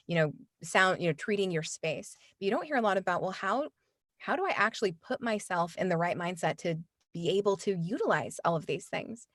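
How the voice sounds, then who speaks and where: A woman's voice: lowish voice, dry recording, studio quality. A woman who coaches voiceover artists, talking earnestly in a casual interview and often restarting her sentences. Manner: earnest, many restarts and fillers. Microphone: studio microphone.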